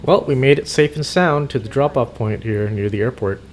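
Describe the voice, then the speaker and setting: A man's voice: medium pitch, moderate pace, a little hiss or hum. A man chatting casually and unscripted as he plays a trucker in a game, easygoing and a little hesitant. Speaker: gaming host playing a trucker. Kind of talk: casual unscripted gameplay chat.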